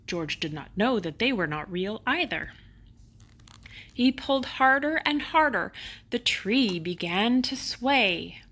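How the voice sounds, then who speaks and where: A woman narrating a children's bedtime picture book expressively, with dramatic emphasis on exciting moments. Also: a little high, slow pace.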